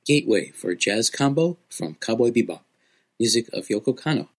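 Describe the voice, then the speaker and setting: A man's voice: medium pitch, expressive, moderate pace. A man hosting a podcast music segment, explaining things in an informal presenter's way.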